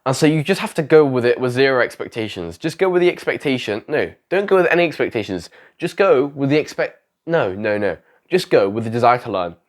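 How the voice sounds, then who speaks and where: A man's voice: mid-range voice, sing-song, brisk. Sick young man rambling vlog advice. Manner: rambling, self-correcting, repeats phrases. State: sick, voice going. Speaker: YouTuber giving advice. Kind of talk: casual talking-head advice vlog.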